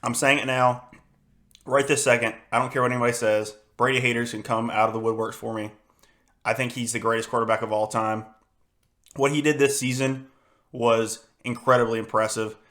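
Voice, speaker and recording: A hoarse young man talks through a game on his solo podcast, his voice worn thin from screaming. He rambles in a flat, monotone way, stacking fillers and restarts, and sounds frustrated. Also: medium pitch. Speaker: podcast host. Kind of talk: casual solo sports podcast.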